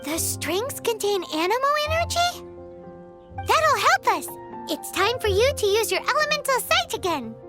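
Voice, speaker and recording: A woman's voice: very high voice, very animated, moderate pace. A woman voicing a small, chatty floating companion in a video game who talks about herself in the third person. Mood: eager. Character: tiny floating female fairy-like companion, chatty and cheeky. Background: game soundtrack music.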